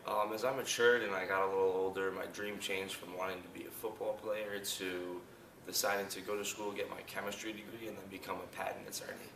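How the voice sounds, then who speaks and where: A twenty-year-old man from New Jersey, a college student, giving quick, matter-of-fact interview answers. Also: low-pitched, level tone. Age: 20s. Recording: roomy, distant mic, background noise.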